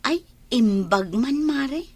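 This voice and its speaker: Actress as prying Ilocano village gossip for radio serial, chatty, fairly high voice. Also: female voice, animated, takes it slowly, warm tone, breathy edge, full character voice. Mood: gossipy. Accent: Filipino, Ilocano. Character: nosy, gossiping village woman, chatty neighbour friend. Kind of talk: radio drama acting.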